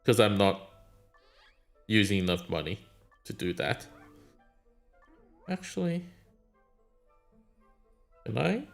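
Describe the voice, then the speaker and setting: A man's voice: fairly low pitch, very animated, takes it slowly, gravelly, long pauses, faint music behind. A man streaming a mobile game, chatting casually and answering his chat in a rambling way. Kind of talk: casual live-stream chat while gaming.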